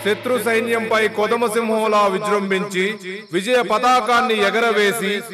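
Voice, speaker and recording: Very high-pitched pompous royal herald. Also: male voice, fairly even intonation, crowd noise. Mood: proud, ceremonial. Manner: declamatory, drawn-out ceremonial proclamation. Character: pompous royal court herald hailing a king. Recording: loud music behind the voice.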